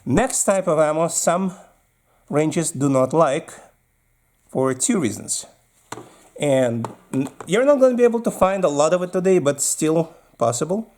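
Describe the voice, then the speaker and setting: A man's voice: on the high side, animated, measured pace, a little mellow. A man with a Russian accent giving practical, casual instructions as a video host, explaining while handling his gear.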